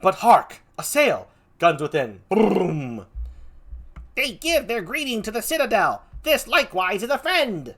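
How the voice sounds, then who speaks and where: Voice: mid-range voice, big pitch swings, normal speed, a bit bright, pitched above the performer's own voice. A performer reading a gallant, courteous young officer in a formal, declamatory Shakespearean manner. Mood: excited. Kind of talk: amateur Shakespeare read-aloud.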